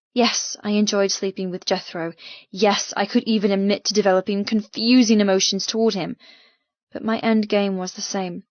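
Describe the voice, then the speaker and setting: A woman with a mid-pitched voice reads first-person fiction as an audiobook narrator. Her delivery is dramatic and intense. The recording is very dry and a little dull. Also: a little mellow, no echo.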